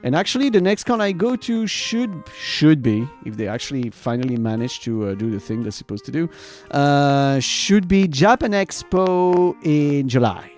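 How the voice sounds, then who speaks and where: Animated man chatting on a livestream. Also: on the high side, moderate pace, hardly any pauses, faint music behind. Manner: rambling, informal, swears casually; animated, laughs often. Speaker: variety streamer and host. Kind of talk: casual livestream chat to viewers.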